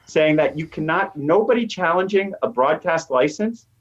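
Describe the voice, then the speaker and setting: A man's voice: on the high side, expressive, normal speed. A middle-aged or older American man, a professor guest explaining things conversationally and emphatically in an interview. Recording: dull, slightly lo-fi voice track.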